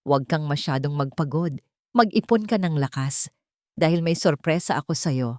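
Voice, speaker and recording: A woman acts out a deceitful younger brother from a dramatic audio storybook. He is smooth, calculating and falsely solicitous, in a lowish voice with a dry, close sound. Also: moderate pace, a little mellow, dry recording, natural voice. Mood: sly, falsely caring.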